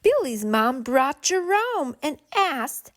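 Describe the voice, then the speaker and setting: A woman reading a rhyming picture book to preschoolers in an expressive, deliberate, sing-song storytelling way. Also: sits high, heavy background noise. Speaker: children's story reader and channel host.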